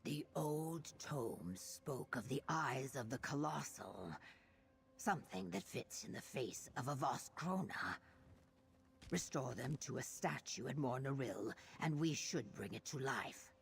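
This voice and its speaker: An actress playing a grave, scholarly female quest companion, speaking in measured, formal video game dialogue. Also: extremely low pitch, animated, gravelly, exaggerated voice. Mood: grave, purposeful.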